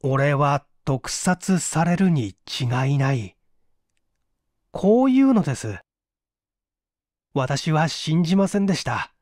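Guy doing a nervous agitated gentleman in a mystery audiobook, higher pitched, really lively. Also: slow, long pauses, no echo, clean studio sound. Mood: anxious, confessing. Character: flustered, anxious gentleman, nephew of the deceased.

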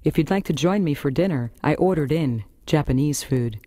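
A woman's voice: low voice, animated, normal speed, dark tone, dry recording. A woman with an American accent, hosting a variety radio show with scripted first-person storytelling. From Florida, United States.

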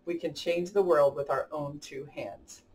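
A woman in her thirties hosting a read-aloud storytime for young children, animated and putting questions to her listeners. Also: sits low, a little slow, recorded from a distance, a little hiss or hum. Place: small indoor room.

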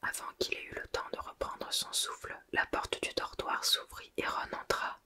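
A speaker reading a novel aloud in a soft, unvoiced whisper and then reviewing it, close to the microphone. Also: normal speed. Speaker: book reader and reviewer.

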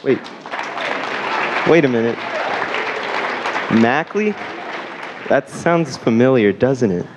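A teenage boy emcees a school expo on a handheld microphone, with a clear student crowd and steady noise filling the big hall. He plays up mock surprise as he brings on the next speaker, his pitch in an ordinary middle range. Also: takes it slowly, long pauses. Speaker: student event co-host. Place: school auditorium stage. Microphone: handheld mic. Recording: noisy hall full of students.

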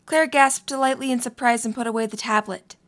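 A woman's voice: fairly high pitch, fairly even intonation, a little fast. A woman reading scripted story narration as the narrator of an audio drama.

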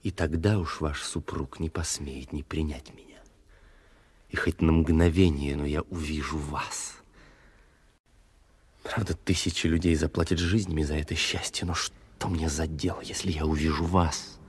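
An actor playing a passionate, imperious duke in a radio drama, courtly and commanding. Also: male voice, rumbling low, steady tone, normal speed, slightly rough, exaggerated voice. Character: passionate, imperious English duke in love with a queen. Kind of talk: theatrical radio drama acting. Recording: radio play with music underneath.

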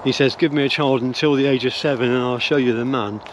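A man's voice: mid-range voice, steady tone, quick pace, a little hiss or hum, low-quality recording. An older man talking while walking his dogs through woodland, musing and hesitant, with many fillers and restarts, recorded close on a handheld camera. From England. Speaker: vlogger. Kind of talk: rambling walk-and-talk opinion vlog. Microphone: phone or camera held close at arm's length.

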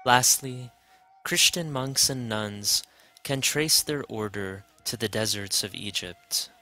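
A man reading a scripted documentary narration in a measured, even way. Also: on the low side. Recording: music bed underneath.

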